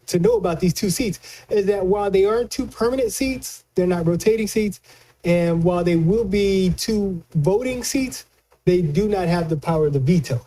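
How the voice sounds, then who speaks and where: A man giving conversational political commentary as a news analyst, colloquial and halting, often repeating words as he explains. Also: high-pitched, dark tone.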